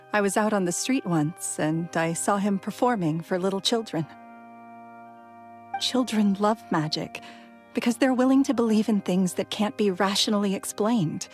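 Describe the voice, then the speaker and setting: A woman playing a widow who remembers her late husband in a gentle, wistful, soft-spoken way, over faint game music. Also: medium pitch, close to an everyday voice.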